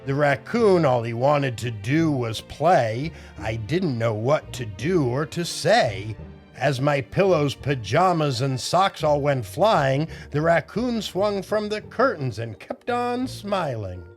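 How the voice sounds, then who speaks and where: A man's voice: a little high, lively intonation, slow, faint music behind. An older man hosting a children's storytime show, telling jokes and reading aloud in a playful, theatrical way. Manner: playful, exaggerated, theatrical delivery. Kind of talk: animated kids' show hosting, joke telling and read-aloud.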